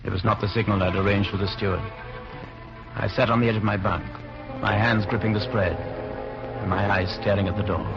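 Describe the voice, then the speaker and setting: A man's voice: on the low side, close to an everyday voice. An actor playing a wary, tense Englishman on the run in an old-time radio suspense drama. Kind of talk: 1940s radio acting with first-person suspense narration. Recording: muffled old radio recording with music.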